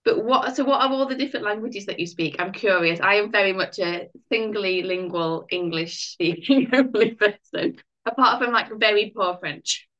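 A woman hosts a podcast interview in a chatty, upbeat style, often dropping in casual fillers. Her voice sits in an ordinary middle pitch. The audio sounds muffled and a bit lo-fi. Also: moderate pace, fairly bright tone. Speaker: podcast host, life coach.

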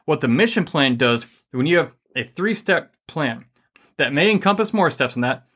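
A man co-hosting a casual faith-based money and marriage talk, energetic and rambling with many fillers. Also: on the high side, normal speed, slightly rough.

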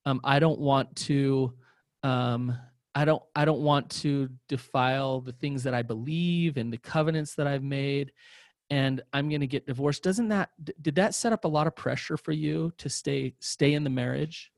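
A man's voice: medium pitch, normal speed, vocal fry, no room sound, clean studio sound. A man co-hosting a podcast, asking probing questions and often restarting his sentences. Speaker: podcast co-host, interviewer. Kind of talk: podcast interview.

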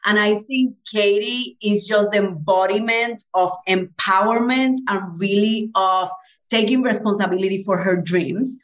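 A woman's voice: medium pitch, poor audio. Woman podcast host from Cuba, effusive and eager interviewer. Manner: enthusiastic, effusive.

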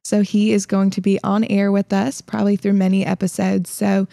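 Gravelly-voiced young woman with Southern accent. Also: medium pitch, flat delivery, normal speed, mellow, no echo, clean studio sound. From Illinois. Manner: upbeat, laughs often. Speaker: podcast host. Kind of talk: casual podcast chat.

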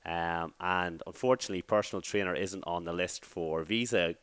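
An Irish man in his thirties, a fitness coach telling his story as a podcast guest in a relaxed, rambling way. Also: low-pitched, moderate pace, clear, resonant tone, no echo. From Ireland. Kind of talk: casual interview chat.

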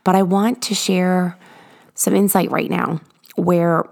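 A woman with a hoarse, raspy voice, rough with gravel and sitting a little low, hosts her podcast from a garage. She talks earnestly and encouragingly, stretching out the words she wants to stress. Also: flat delivery, darkish tone. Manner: earnest, encouraging, drawn-out emphasis. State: hoarse from illness. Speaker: podcast host. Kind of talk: motivational podcast monologue.

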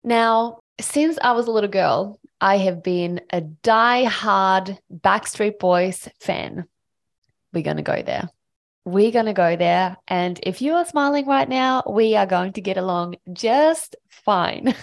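A woman's voice: medium pitch, takes it slowly, vocal fry. A woman from Sweden hosting her own podcast, telling a personal story in an enthusiastic, motivational, chatty way. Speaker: podcast host.

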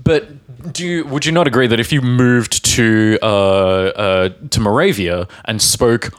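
A man co-hosting a podcast, telling rambling, halting anecdotes in casual chat, often stopping to restart his sentences. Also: mid-range voice. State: sober. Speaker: podcast co-host.

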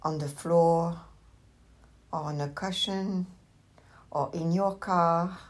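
Slow, low-voiced woman guiding meditation. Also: steady tone. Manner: gentle, unhurried instruction with long pauses. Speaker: meditation teacher. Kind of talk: guided meditation.

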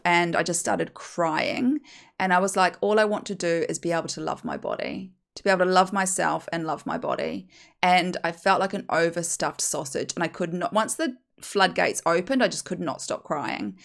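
A woman's voice: fairly low pitch, a bit bright. A woman in her forties from New Zealand with a New Zealand accent, hosting a podcast and telling her own story in a candid, chatty, self-mocking way. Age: 40s. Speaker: podcast host, hypnotherapist. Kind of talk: personal storytelling monologue.

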